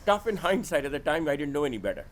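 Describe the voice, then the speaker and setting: A man's voice: a little high, big pitch swings, very fast. A middle-aged man telling his story conversationally as an interview guest, candid and self-deprecating. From born in India, grew up in Sudan and Nigeria. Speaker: podcast guest, venture capitalist.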